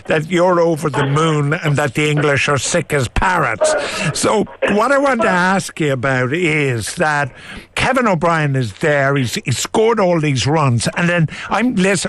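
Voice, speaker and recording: A man's voice: on the high side, a bit bright. A man with an Irish accent hosting a radio talk show, blunt and passionate as he interviews and comments. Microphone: studio microphone.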